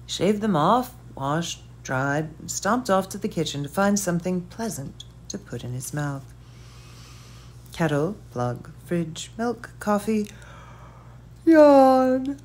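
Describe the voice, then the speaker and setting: A woman reads a story aloud in a measured, unhurried way. Her voice sits a little low for a woman, and her phrases often fall away softly at the end. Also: a little hiss or hum. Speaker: audiobook reader. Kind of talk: scripted narration.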